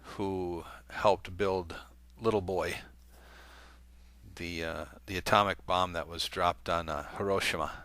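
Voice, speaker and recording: A man's voice: low-pitched, fairly even intonation, takes it slowly, bright, forceful tone, no echo. A man hosting an online talk channel, reading a long letter aloud to his viewers in a conversational way, with fillers and small self-corrections. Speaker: video channel host.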